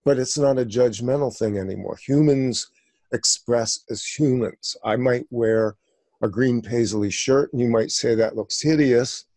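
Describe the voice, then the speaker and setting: Rambling older man, mellow voice. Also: mid-range voice, measured pace, dry recording. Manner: rambling, digressive, with many fillers. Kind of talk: conversational discussion.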